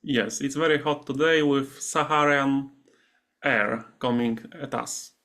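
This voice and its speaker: A man's voice: medium pitch, steady tone, unhurried, a bit bright. A man with a Polish accent, speaking conversationally as a guest in a remote interview. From Poland. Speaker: interview guest, meditation teacher. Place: remote video call.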